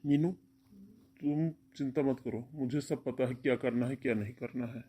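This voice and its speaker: A man's voice: mid-range voice, steady tone, normal speed, warm tone, a little hiss or hum, close to an everyday voice. A man voicing a stern, domineering elder brother, firm and insistent, in a cartoon family melodrama.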